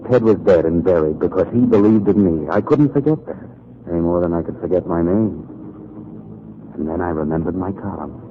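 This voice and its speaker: Man acting a low-voiced hard-boiled noir newspaperman, American. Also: fairly quick, natural voice. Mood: haunted, brooding. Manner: terse, world-weary, sardonic. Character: hard-boiled newspaper columnist, dogged, brooding, haunted by guilt. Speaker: narrator and lead actor. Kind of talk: 1940s radio noir acting and narration. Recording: muffled, noisy 1940s radio recording.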